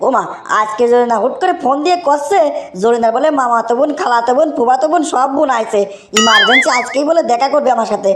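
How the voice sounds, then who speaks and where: Cartoon schemer, broke young guy with a Bogura accent, voiced by a woman; high and rapid. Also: lively intonation, a little hiss or hum, natural voice. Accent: Bogura dialect of Bangla. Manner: fast, whiny and wheedling, comic rants. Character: scheming broke young man, boyfriend and son.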